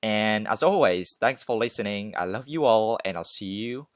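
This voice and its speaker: A man's voice: lowish voice, lively intonation, moderate pace, dry recording, clean studio sound. A man hosting a podcast, giving informal, conversational advice straight to listeners with emphatic repetition. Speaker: podcast host. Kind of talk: casual advice monologue to listeners.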